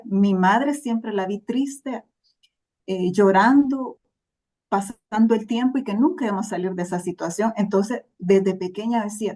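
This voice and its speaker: A woman's voice: medium pitch, warm tone. A Salvadoran woman from El Salvador, a podcast guest telling her life story in an earnest, reflective way. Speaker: interview guest. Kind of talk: personal storytelling.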